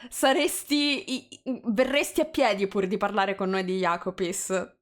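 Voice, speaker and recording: A woman's voice: mid-range voice, fairly even intonation, a little slow. A woman chatting casually and jokingly as she hosts a live stream. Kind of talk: casual chat before a live reading stream.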